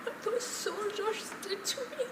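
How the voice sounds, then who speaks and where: A woman acts out an elderly, plain-spoken widow and survivor in a stage play. Her high voice moves slowly, weighted with old hurt. It echoes from across a noisy room. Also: recorded from a distance. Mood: pained, sorrowful. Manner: deliberate, emotional storytelling. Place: theatre stage, distant mic.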